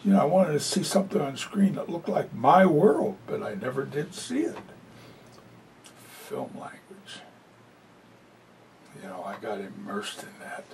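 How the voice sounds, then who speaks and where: A man's voice: huge pitch swings, unhurried, gravelly, pauses often, a little mellow. A man voicing a presentation as a sign language interpreter, talking conversationally with many fillers. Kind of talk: live spoken interpretation of a talk. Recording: distant mic with faint low rumble.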